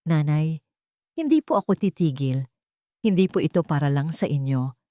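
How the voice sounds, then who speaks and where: A principled daughter in an audio story, voiced by a woman narrator. Her voice is low and dry, and she speaks firmly and respectfully, full of resolve. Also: lively intonation, moderate pace, mellow, no echo. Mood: resolute.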